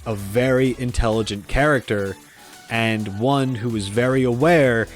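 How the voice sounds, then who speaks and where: A man hosting a gaming reaction video, giving casual, informal and expressive commentary. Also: mid-range voice. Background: music under the voice.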